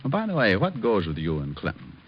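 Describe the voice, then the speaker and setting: An actor playing a cool, suave private detective, glib and flippant, in an old-time radio noir drama. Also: male voice, lowish voice, wildly swooping pitch, brisk, slightly rough, exaggerated voice. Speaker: lead actor and first-person narrator. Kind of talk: 1940s-50s radio noir acting and narration. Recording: dull lo-fi old radio recording.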